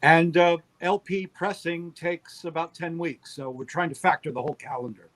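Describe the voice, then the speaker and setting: A man guesting on an interview as a producer, explaining casually in a halting, careful way. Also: a little high, steady tone, a bit bright. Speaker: guest, audio drama producer.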